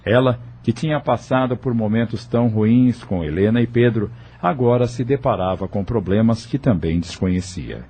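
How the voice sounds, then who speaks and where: A man narrating an audio novel from a script in a measured storytelling manner. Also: medium pitch, mellow, husky. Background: music.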